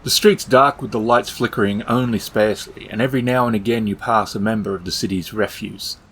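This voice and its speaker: A man's voice: lowish voice, fairly even intonation, brisk, fairly bright tone, breathy edge. Easygoing man running a tabletop game. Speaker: game master. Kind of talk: game-master rulings and scene narration.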